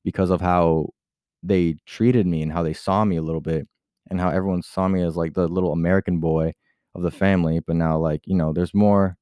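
A man's voice: sits low, steady tone, fairly quick, mellow, clear, resonant tone, dry recording, clean studio sound. A young man from Puerto Rico talking casually on his podcast in a rambling way, full of "like" and restarts, switching at times into Spanish. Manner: rambling, heavy use of filler "like", many restarts; switches into Spanish mid-talk. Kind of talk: casual personal monologue.

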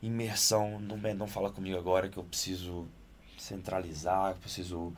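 Male actor guest from Naples, lowish voice, animated interview talk, faint room ambience behind. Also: a little fast, slight background noise. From Naples, Italy. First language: Italian. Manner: animated, rises in pitch when excited. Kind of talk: interview conversation.

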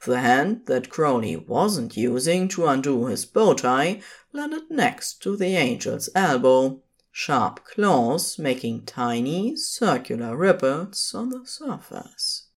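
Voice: medium pitch, sing-song, takes it slowly, slightly rough. A narrator reading scripted fiction for an audio drama.

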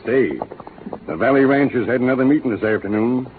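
An actor playing a grumbling but neighborly Western judge in a 1930s radio drama, blunt and folksy. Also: male voice, medium pitch, lively intonation, brisk, narrow, tinny audio, background noise, lightly acted. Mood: friendly, curious. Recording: thin 1930s radio broadcast, music behind.

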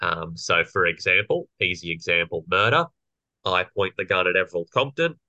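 A young man with a slightly croaky voice, co-hosting a casual political podcast and explaining legal points. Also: sits low, moderate pace, sharp tone, no room sound, dull audio. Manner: explanatory, lawyerly. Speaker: podcast co-host.

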